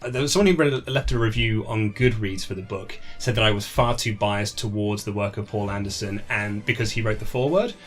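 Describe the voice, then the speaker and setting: A man being interviewed as an author, chatting casually and telling anecdotes in an animated way, acting out what people said. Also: on the low side, a little fast, few pauses. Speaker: author being interviewed about their book.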